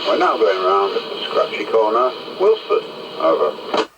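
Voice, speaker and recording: A man's voice: lowish voice, sing-song, a little slow, highs cut off. A man giving brief, casual location reports over CB radio with procedure words, heard as a distant, noisy transmission. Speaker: mobile CB radio test caller. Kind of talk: casual CB radio check-in calls.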